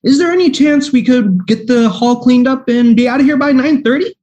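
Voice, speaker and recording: A man playing a fretful private detective in a radio play, flustered and quick to exclaim. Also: very high-pitched, darkish tone.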